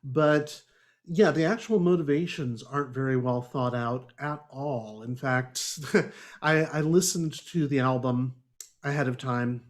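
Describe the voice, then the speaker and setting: A man's voice: mid-range voice, a little slow. A man hosting a casual podcast book discussion, agreeing readily and criticising with wry humour.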